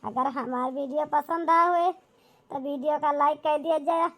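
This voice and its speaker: A woman's voice: high voice, fairly even intonation, normal speed, a bit bright. A woman with an Awadhi accent, a cartoon video creator speaking directly to viewers in an outro. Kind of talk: channel outro addressed to viewers.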